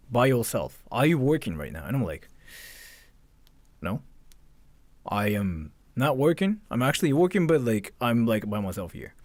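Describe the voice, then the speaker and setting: Guy streaming, super animated pitch swings, swears a lot, says like constantly, dry close sound. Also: dry recording. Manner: animated, swearing, acts out both sides of conversations; frequent "like" and repeated phrases. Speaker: livestreamer. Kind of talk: casual livestream storytelling to chat.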